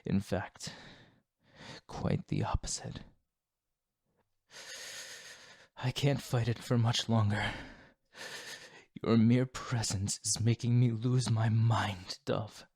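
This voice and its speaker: A man playing a courteous, tormented vampire fighting his bloodlust in an ASMR roleplay, speaking formally and apologetically in a soft, intimate way. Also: deep voice, slow, gravelly, pauses often, no echo, clean studio sound, heavily acted. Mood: tempted, strained.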